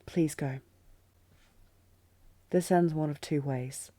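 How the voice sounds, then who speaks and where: Fantasy queen, played by a woman: commanding yet heartsick, mellow timbre, very dry close sound, restrained intensity. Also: deep voice, steady tone, slow, no echo. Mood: tormented, confessing. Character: commanding, tormented queen in love with her general. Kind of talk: fantasy audio roleplay, dramatic acting.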